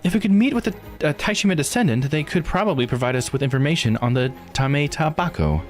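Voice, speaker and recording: Man voicing a higher-pitched, formal, cautious investigator. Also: very animated, quick pace. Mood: earnest. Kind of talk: visual novel dialogue read aloud. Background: music.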